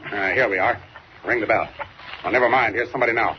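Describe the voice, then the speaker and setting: Casting: male actor for gruff, mocking cop boss in a vintage radio adventure, slangy bark, dull noisy sound. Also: lowish voice, fast talker, close to an everyday voice. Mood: businesslike. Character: gruff, sarcastic male police captain. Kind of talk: 1940s radio acting. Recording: muffled, noisy 1940s radio recording.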